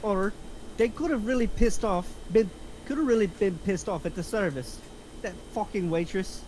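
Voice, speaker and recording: A woman's voice: mid-range voice, moderate pace, dark tone, lightly acted. A woman playing a hot-headed young crook, slightly noisy recording. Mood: agitated. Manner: blaming, pushy, sweary. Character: hot-headed, foul-mouthed twenty-four-year-old criminal sidekick. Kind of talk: table read of a film script.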